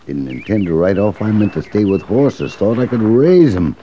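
A man's voice: fairly low pitch, very animated, moderate pace, dark tone. An actor playing a wary, grudging frontier farmer in a radio Western, folksy and evasive as he grumbles. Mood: grumbling. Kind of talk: old-time Western radio drama acting. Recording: dull old radio recording, faint noise.

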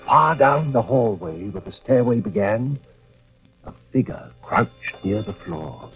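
An actor playing a weary, anxious husband who narrates a suspense tale in measured, dramatic 1940s-50s radio style. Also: male voice, medium pitch, lively intonation, gravelly. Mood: tense, brooding. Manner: measured, dramatic first-person storytelling. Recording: muffled vintage radio recording with music.

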